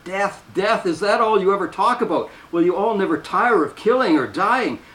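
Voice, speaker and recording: A man's voice: high-pitched, lively intonation, normal speed, voice pushed up. A man reading aloud and voicing a panicked, defiant young nun whose voice breaks with outrage, heard in a roomy space from a distant mic.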